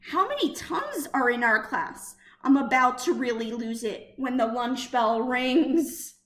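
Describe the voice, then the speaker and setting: A woman reads a picture book aloud like a teacher to young children. Her voice is high and swings widely in pitch, lifting on the questions. She takes it slowly and deliberately, in a roomy, echoing space. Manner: expressive, deliberate storytelling with questioning lifts.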